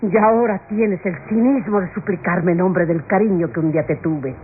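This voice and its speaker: An actress playing a wealthy older aunt, wounded and unforgiving, in an emphatic, anguished radio soap style. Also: female voice, fairly low pitch, moderate pace, lightly acted. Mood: anguished reproach. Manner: anguished, emphatic, melodramatic declamation. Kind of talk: classic Latin American radio soap acting. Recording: tinny, noisy old radio recording.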